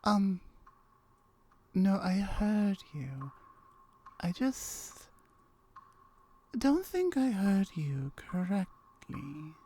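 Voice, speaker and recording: A woman voicing a lonely, wary gorgon with slyly flirtatious murmurs and drawn-out syllables, in an ASMR roleplay. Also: mid-range voice, big pitch swings, mellow, slightly rough, heavily acted. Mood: wary, suspicious.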